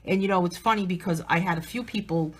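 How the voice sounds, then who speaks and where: A woman's voice: lowish voice, fast, poor audio. A woman with a Southern New England accent, chatting casually as she hosts a live coloring demo. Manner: chatty, upbeat, restarts often. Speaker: artist and livestream host.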